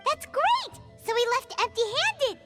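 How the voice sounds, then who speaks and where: A woman voicing a tiny, cheerful, inquisitive companion creature in a game cutscene, with a squeaky childlike voice. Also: very animated, unhurried, full character voice. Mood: excited realization. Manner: chirpy, inquisitive. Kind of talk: animated video game cutscene acting. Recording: loud music under the voice.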